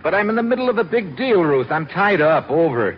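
A man's voice: a little high, sing-song, a little fast. An actor playing a breezy womanizing secret agent, wry and casual, in 1940s radio acting. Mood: exasperated. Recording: dull hissy 1940s radio recording.

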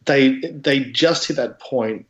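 A slightly dull recording of a man with a medium-pitched voice. He is a podcast guest discussing films in a casual, conversational way. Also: slow pace.

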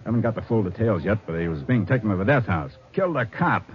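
An actor playing a gruff, businesslike police captain in a 1950s radio drama, speaking in a clipped, commanding way. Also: male voice, lowish voice, animated, fast, close to an everyday voice. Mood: terse, businesslike. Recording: muffled, noisy 1950s radio recording.

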